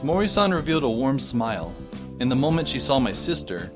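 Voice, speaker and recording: A man narrating an audio drama as a wry, deadpan teenage boy. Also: mid-range voice, fairly quick, over music, lightly acted.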